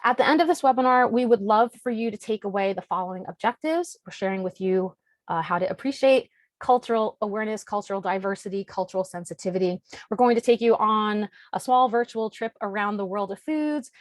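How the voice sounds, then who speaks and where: A woman's voice: medium pitch, a little fast, dry recording. A woman dietitian hosting a webinar, presenting in an organized, scripted way over a video call. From United States. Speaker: registered dietitian and webinar host.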